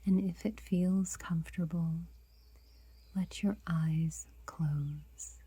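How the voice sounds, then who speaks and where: A woman guiding a meditation in a soft, breathy whisper, unhurried and gentle, leaving long pauses. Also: fairly low pitch, steady tone, dry recording. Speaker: meditation guide.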